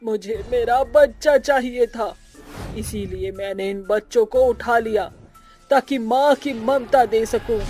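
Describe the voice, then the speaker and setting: A woman's voice: a little high, mellow, voice pushed down. A woman voicing a grieving, possessive witch mother in a cartoon horror story, plaintive and insistent. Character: grieving witch mother, possessive yet tender toward children. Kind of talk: Hindi animated horror story acting.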